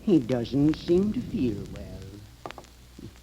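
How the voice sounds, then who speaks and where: A sinister, proud sorcerer-professor of old-time radio horror. He speaks very slowly and ominously, as if watching in a trance. The recording is an old, clearly noisy broadcast. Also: mid-range voice, big pitch swings, mellow. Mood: ominous, entranced. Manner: slow, ominous, theatrical trance-like narrating. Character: sinister, proud male professor casting a deadly spell. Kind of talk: 1930s radio horror acting.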